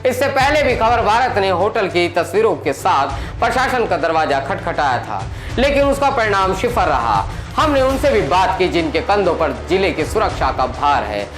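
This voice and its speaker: A man's voice: high voice, big pitch swings, normal speed, hardly any pauses. A man presenting a scripted news report in a dramatic, emphatic, rhetorical style. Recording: echoey voice over loud background music.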